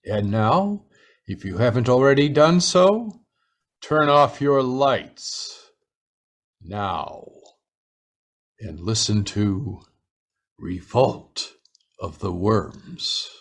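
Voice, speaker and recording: A man announcing in the ominous, deliberate style of an old-time radio horror introduction, solemn throughout. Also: mid-range voice, very animated.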